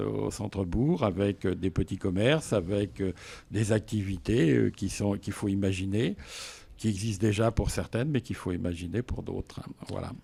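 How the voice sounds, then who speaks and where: A man speaks as a local official being interviewed. His voice is fairly low and mellow, with marked fry. He explains in a measured, halting way, stopping often to find the next phrase.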